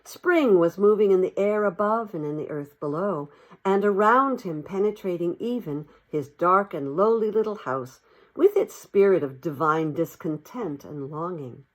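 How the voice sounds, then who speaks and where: A woman's voice: fairly low pitch, lively intonation, normal speed. A woman narrating a classic storybook expressively, as an audiobook reader.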